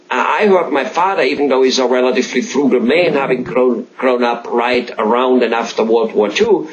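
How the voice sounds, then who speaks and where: A man with an Austrian accent, a native German speaker, interviewing conversationally in a halting, repetitive, emphatic way with rambling examples. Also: medium pitch, muffled recording. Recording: faint background noise.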